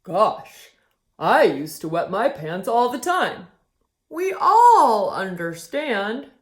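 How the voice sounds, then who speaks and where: A woman voicing a kindly male Bigfoot friend who speaks softly and reassuringly. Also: mid-range voice, very animated, slow pace. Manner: soft, reassuring. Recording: roomy echo.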